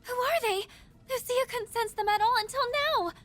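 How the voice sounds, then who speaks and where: A woman's voice: very high voice, normal speed, fairly bright tone, soft background music. An actress voicing an earnest young female scout in a video game, careful in her reports. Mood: alert.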